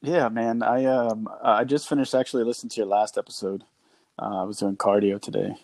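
A man's voice: lowish voice, fairly even intonation, brisk, creaky, darkish tone, no room sound, clean studio sound. A man talking informally and conversationally as a guest on a podcast. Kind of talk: casual chat.

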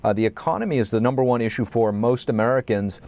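A man hosts a news interview show with steady, scripted introductions and questions. His voice sits in a middle range for a man and stays measured throughout. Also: medium pitch. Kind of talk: interview hosting.

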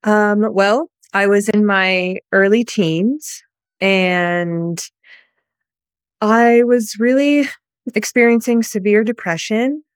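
A woman from the United States, telling her personal story as a guest in an interview, soft and reflective with many pauses. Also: mid-range voice, no room sound, studio quality.

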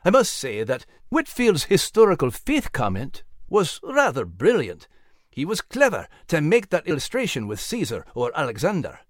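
A woman playing a measured, dutiful father and sheriff in a historical children's story. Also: sits low, huge pitch swings, no room sound, studio quality, exaggerated voice. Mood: admiring.

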